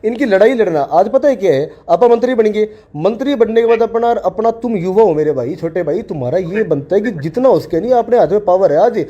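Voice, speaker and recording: Young haryanvi guy on a recorded call, kinda high voice, talks fast, keeps pushing his advice. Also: animated, dark tone, dull audio. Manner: insistent, repetitive, lecturing. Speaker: student leader. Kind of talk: earnest advice on a phone call.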